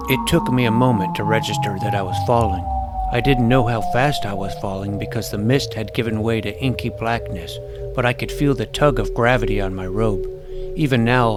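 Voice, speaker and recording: A man's voice: fairly low pitch, close to an everyday voice. Man as a boy adventurer narrating over prominent music. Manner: wordy, vivid, earnest. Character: earnest, awkward boy adventurer telling his tale. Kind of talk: first-person scripted storytelling in fantasy audio drama.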